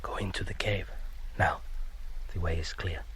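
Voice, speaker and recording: Young warrior, very deep, breathy near-whisper, terse, fierce, confident, radio drama. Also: male voice, fairly even intonation, moderate pace. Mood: cool, hushed. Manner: terse, hushed.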